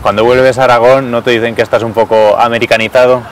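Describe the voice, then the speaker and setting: Spanish male reporter, medium-pitched voice. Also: little pitch movement, fast talker, rough recording. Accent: Spanish from Spain. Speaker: travel show reporter. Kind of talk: casual on-location interviewing. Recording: on-location, faint urban traffic noise.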